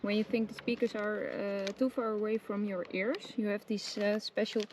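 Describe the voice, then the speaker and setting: A woman presenting a casual hands-on tutorial, giving simple step-by-step instructions with hesitant pauses. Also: mid-range voice, fairly even intonation. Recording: clearly noisy background with clicks.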